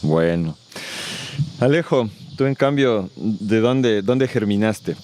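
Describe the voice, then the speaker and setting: Podcast host asking short questions. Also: male voice, lowish voice, slow, a little mellow, a little hiss or hum. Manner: brief, friendly prompts. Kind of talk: casual interview questions.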